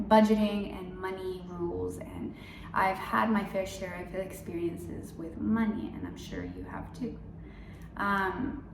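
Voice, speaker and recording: A woman's voice: mid-range voice, slight background noise. Chatty young woman, roomy distant sound. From Mexico. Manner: chatty, upbeat, many fillers. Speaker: faith vlogger. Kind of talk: casual devotional vlog. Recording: roomy, a bit far from mic.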